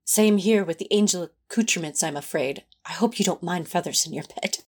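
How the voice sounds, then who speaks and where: A woman's voice: on the low side, brisk, breathy edge. A woman playing a prim, tender male angel in a fan audio drama, speaking in a fussy, formal and earnest way. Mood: bashful.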